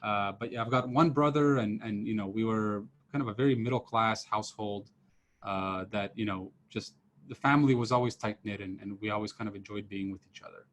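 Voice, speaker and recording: A man's voice: on the low side, a little fast, fairly bright tone. A man in his thirties from Serbia, giving a casual lecture to students over a video call with his camera off, full of fillers and restarts. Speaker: professor giving a seminar. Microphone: computer mic on a video call, camera off.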